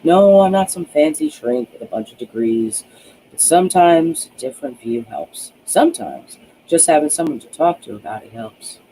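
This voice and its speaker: A man's voice: on the high side, sing-song, normal speed, dark tone, a little hiss or hum, poor audio. A man voicing a weary, protective, sardonic ex-cop in an audiobook, earnest and plain-spoken. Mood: earnest, reassuring.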